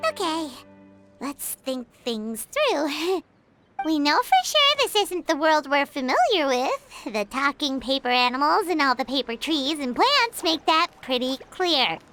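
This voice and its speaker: Casting: tiny childlike game fairy, extremely high squeaky voice, bouncy swooping delivery, music behind. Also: female voice, full character voice. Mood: bewildered. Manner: speaks of herself in third person, excitable. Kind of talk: video game voice acting.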